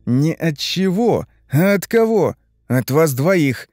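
A man's voice: mid-range voice, very animated, moderate pace, a little mellow, no echo, clean studio sound, pitched above the performer's own voice, close to an everyday voice. A man voicing an exasperated, defiant man who snaps at his relatives with irritated emphasis, in an audiobook story.

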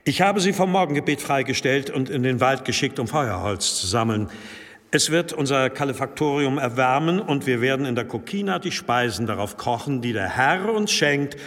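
Solemn abbot in long reverb. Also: male voice, mid-range voice, expressive, a bit bright. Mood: solemn, gracious. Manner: formal, measured, preacherly. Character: solemn, authoritative monastery abbot. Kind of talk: German radio drama acting.

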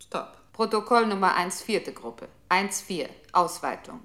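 Casting: a commanding machine controller for experimental radio drama; crisp-edged, unhurried, strict and impersonal. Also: female voice, mid-range voice, expressive, sharp tone. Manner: clipped, exact, announcing commands. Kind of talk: 1960s German experimental radio play.

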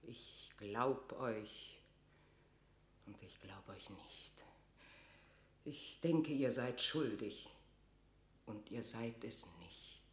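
An actress playing an imperious but wavering, troubled queen in a classical radio drama, declaiming in a halting, dramatic way. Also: extremely low pitch, roomy sound, exaggerated voice. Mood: shaken, torn. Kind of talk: classical German stage-verse radio drama.

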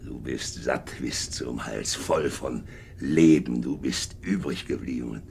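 An actor playing a hardened, domineering middle-aged sea captain and war veteran in a German radio drama, brooding and clipped. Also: male voice, takes it slowly, a little mellow, heavily acted. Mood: hushed, obsessed. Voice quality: gravelly, creaky low voice. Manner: brooding, clipped, swinging from hearty to menacing murmur. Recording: noisy 1950s radio recording.

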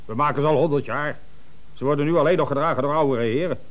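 An actor playing a hurried, emphatic, impatient male company boss in a 1970s radio comedy. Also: fairly high pitch, lightly acted. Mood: eager, obliging.

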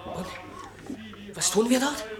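Proud young Scottish gentleman doctor, haughty, lively pitch, busy crowd noise behind. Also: slow pace. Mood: indignant. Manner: haughty, protesting. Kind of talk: 1970s radio play acting.

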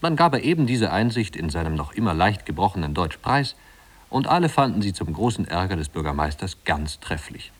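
Deep-voiced male radio play narrator. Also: very animated, brisk. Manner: composed, articulate storytelling. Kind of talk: literary scripted narration, 1950s German radio play.

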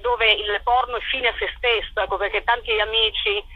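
A woman answering interview questions as a playwright and performer, in a colloquial, animated storytelling way. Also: mid-range voice, moderate pace, no echo, dull audio.

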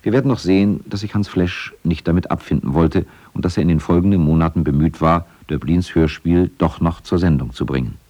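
Deep-voiced, formal male feature narrator. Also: fairly quick, few pauses, dark tone, dull audio. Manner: formal, factual. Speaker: documentary narrator. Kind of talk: scripted documentary narration.

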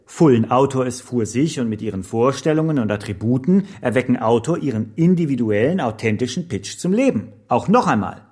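An actor playing an earnest pitch trainer who lectures didactically and tells motivational parables with rhetorical questions. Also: male voice, husky. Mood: lecturing. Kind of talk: seminar presentation and storytelling.

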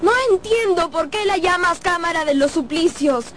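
A woman's voice: very high voice, normal speed, noisy background. An actress playing a young captive woman in a radio drama, coaxing and carefully feigning innocence. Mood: feigned calm. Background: music.

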